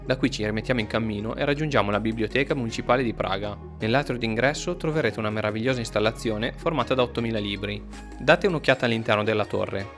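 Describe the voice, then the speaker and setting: A man's voice: on the low side, fast talker, fairly bright tone. A man narrating a travel vlog from a script. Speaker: travel vlogger. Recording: music under the voice. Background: background music.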